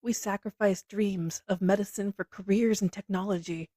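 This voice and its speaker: A woman narrating a literary text in a measured, reflective manner. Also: medium pitch, fairly even intonation, dark tone, dry recording, clean studio sound. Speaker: narrator reading a book aloud.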